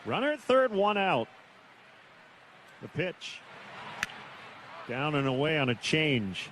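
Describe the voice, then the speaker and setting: Higher-voiced man calling baseball on radio. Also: sing-song, slow pace, fairly bright tone. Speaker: baseball play-by-play announcer. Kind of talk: live radio baseball commentary. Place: stadium broadcast booth. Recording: clear noise behind the voice. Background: outdoor ambient stadium noise.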